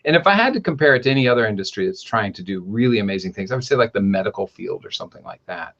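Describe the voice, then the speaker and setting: A man hosting a podcast, talking in a rambling, animated way and often correcting himself mid-sentence. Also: lowish voice, very fast, vocal fry, muffled recording. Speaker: podcast host. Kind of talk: casual podcast chat.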